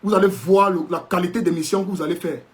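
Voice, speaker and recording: A man's voice: high-pitched, steady tone, fast talker. A man with a Cameroonian French accent ranting sarcastically in a video commentary, indignant and preachy, sometimes slipping into English to mock. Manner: mixes in English mockingly.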